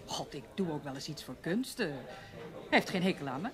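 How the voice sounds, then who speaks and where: Animated, flustered newspaper woman. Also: sits low, fairly quick, over music. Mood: defensive, evasive. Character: eager, evasive woman newspaper journalist, Mrs Soeteman. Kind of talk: Dutch radio play acting.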